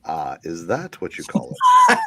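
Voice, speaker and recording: A man's voice: low voice, wildly swooping pitch, a little slow, creaky, a bit bright, no echo. A man reading comic-book dialogue aloud in a casual amateur style.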